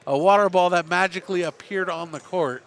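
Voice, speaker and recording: A middle-aged man doing play-by-play and then a joking postgame interview in a high school gym. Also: a little fast, fairly bright tone. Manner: chatty, joking, frequent restarts. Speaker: play-by-play broadcaster. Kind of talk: sports commentary and postgame interview.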